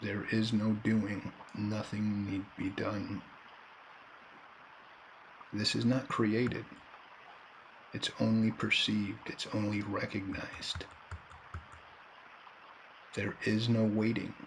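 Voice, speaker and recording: Man, meditation guide, very even tone, gravelly creak, calm short phrases, faint nature sounds and birdsong behind. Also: lowish voice, slight background noise, low-quality recording. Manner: calm, unhurried, with long pauses between short phrases. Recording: background nature ambience and birdsong. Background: nature and bird sounds.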